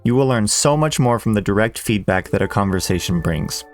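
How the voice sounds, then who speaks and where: A man in his thirties, a language teacher, reading a measured, earnest voice-over lesson. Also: on the low side, lively intonation. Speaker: Japanese teacher. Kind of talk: scripted educational voice-over. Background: soft background music.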